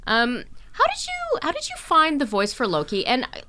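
A woman's voice: sits high, huge pitch swings, moderate pace, pushed and bright, slight background noise. A woman hosting a casual interview podcast, chatting and asking questions with jokey asides.

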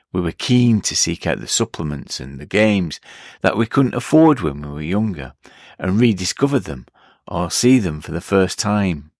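A man's voice: low voice, no echo, studio quality. A middle-aged man hosting a podcast, speaking in a reflective solo narration. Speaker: podcast host.

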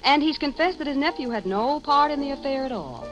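A woman's voice: high voice, expressive, brisk, dull audio. An American actress playing a clever, poised young woman with an arch, knowing manner in a 1950s radio drama. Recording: old 1950 radio broadcast recording.

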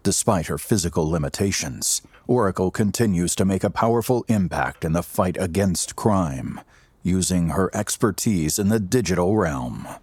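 An actor as the narrator of an audio drama, a husky man delivering solemn, dramatic scripted narration. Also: sits low, lively intonation, normal speed, darkish tone, no echo. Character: solemn, dramatic male storyteller of superhero adventure.

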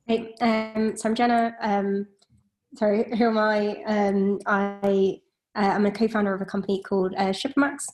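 A woman's voice: mid-range voice, flat delivery, normal speed, darkish tone. A woman co-founder on a panel, speaking hesitantly with many fillers. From Britain. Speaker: company co-founder, panel guest. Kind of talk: casual chat.

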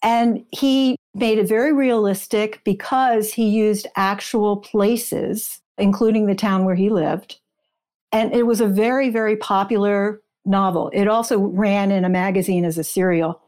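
A woman with a medium-pitched voice talks as an expert guest in an interview. She explains things in measured, informative detail, like someone sharing research. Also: fairly even intonation, darkish tone.

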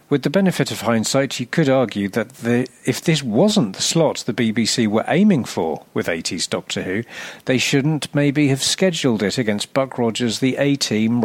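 A man reading a scripted, humorous narration as a podcast presenter, with a wry, deadpan, sardonic delivery. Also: mid-range voice, no echo.

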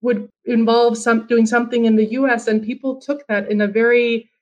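A woman's voice: fairly high pitch, flat delivery, moderate pace, muffled recording. A woman from Finland with an American accent, whose first language is Finnish, talking as a guest in a casual conversation and rambling with frequent restarts and fillers.

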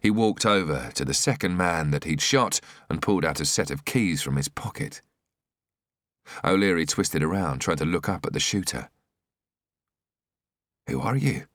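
A man's voice: sits low, normal speed, fairly bright tone, no room sound, clean studio sound. A man narrating a scripted thriller audiobook in a dramatic storytelling style.

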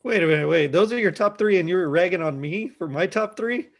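A man's voice: high-pitched, animated, fairly quick. A man on a podcast panel, chatting casually and chiming in on the others. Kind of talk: casual chat with interjections.

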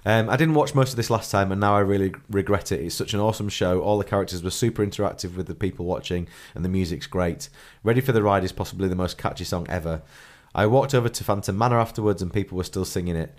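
A man's voice: deep voice, fast, hardly any pauses. Stuffy-nosed man reading aloud. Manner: chuckles often, clears throat. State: congested, stuffy nose from a cold. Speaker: podcast host. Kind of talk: reading a listener's trip report aloud, casual chat.

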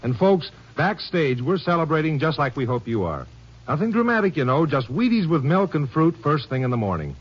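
A husky male guest with a lively, folksy delivery, enthusiastically plugging a sponsor. The recording is muffled vintage radio. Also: fairly high pitch, a little fast. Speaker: guest director endorsing a sponsor. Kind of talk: scripted 1950s radio endorsement.

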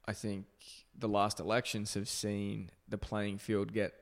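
Male podcast host, gravelly and low, easygoing and hesitant interviewer. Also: completely flat delivery. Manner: halting, many restarts and "you know", easygoing. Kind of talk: casual interview chat.

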